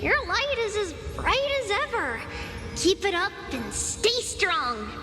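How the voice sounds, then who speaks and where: Game role: tiny sweet cheerful girl, very high, lilting, animated, in a reverberant space over music. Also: takes it slowly, full character voice. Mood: fond, encouraging. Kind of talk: video game voice acting. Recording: echoey hall reverb, music underneath.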